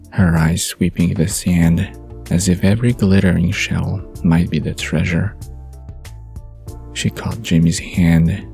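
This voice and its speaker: A man narrates a bedtime story in a very slow, even voice. It sits low and dark with a creaky grain, over faint music. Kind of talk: scripted story narration for bedtime audio drama.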